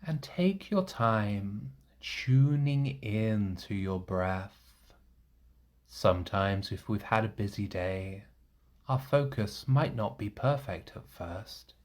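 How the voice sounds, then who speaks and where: A man leading a guided meditation in a gentle, reassuring manner, leaving long pauses between short phrases. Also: fairly low pitch, animated. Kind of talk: guided meditation, soothing instruction.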